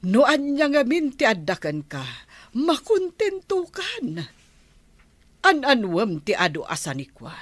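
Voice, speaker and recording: A woman's voice: high-pitched, wildly swooping pitch, a little slow, exaggerated voice. A Filipino woman playing a fed-up older village mother who nags and admonishes, in a radio drama. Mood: scolding. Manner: nagging, admonishing. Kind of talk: Ilocano radio drama acting.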